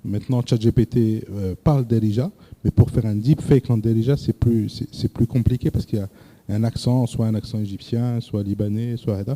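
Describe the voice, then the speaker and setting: A male presenter lecturing a live audience, hesitant, often repeating words and filling pauses, asking the room questions. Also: lowish voice, fairly even intonation, mellow. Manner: addresses the audience directly with questions. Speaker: workshop presenter.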